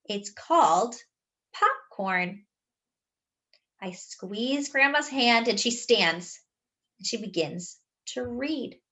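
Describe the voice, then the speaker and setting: A woman reading a children's picture book aloud, expressively and with animated delivery for young listeners. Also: fairly high pitch. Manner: animated, pausing to explain words.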